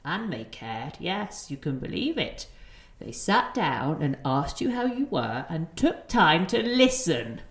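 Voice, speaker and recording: Proud grandmother, slow and low. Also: sing-song, roomy sound, exaggerated voice. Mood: indignant. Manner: haughty, clipped retorts, sarcastic. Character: proud, sharp-tongued elderly grandmother, retired nurse, defensive. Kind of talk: audio play acting.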